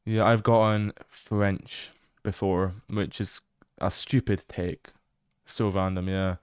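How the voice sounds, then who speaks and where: A young British man livestreaming as he explores a game. He chats casually, swears freely and bursts into excited exclamations. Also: sits low, slow, clean tone, dry recording, studio quality.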